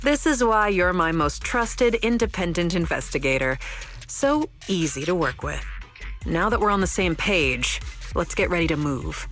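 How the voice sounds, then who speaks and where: A woman voicing a composed, analytical strategist in game story dialogue, explaining things in a measured, scholarly way. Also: on the low side, expressive, fairly bright tone, faint music behind. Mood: calm, confident.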